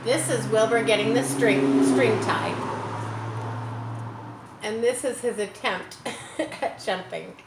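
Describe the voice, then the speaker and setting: A woman reading a children's story aloud expressively, pausing to explain things as if to schoolchildren. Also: a little high. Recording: roomy echo, faint background noise.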